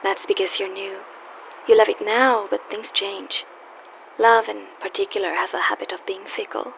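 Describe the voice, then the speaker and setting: A woman's voice: mid-range voice, flat delivery, moderate pace, vocal fry, a bit bright. A woman playing a wry, world-weary young call girl in a radio play, teasing yet guarded and wistful. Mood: wry, worldly. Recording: dull lo-fi audio with faint hiss.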